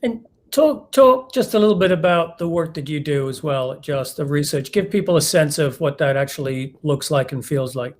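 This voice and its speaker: Male event host, voice on the higher side, conversational and somewhat halting as he introduces and questions guests. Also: lively intonation.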